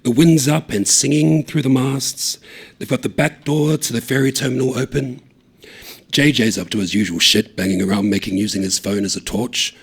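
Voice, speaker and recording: A man reading a short story aloud, acting out the voices of the characters, loud on some lines and hushed on others. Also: medium pitch, moderate pace, slightly rough. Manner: lively, acts out the dialogue, shouting some lines and dropping to a hush on others.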